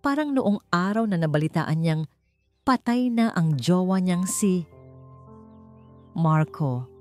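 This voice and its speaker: A woman's voice: fairly low pitch, expressive, slow, a little mellow, soft background music. A woman voicing a smug, spoiled rich bride who talks in a haughty, sneering, boastful way in a narrated story. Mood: smug, gloating.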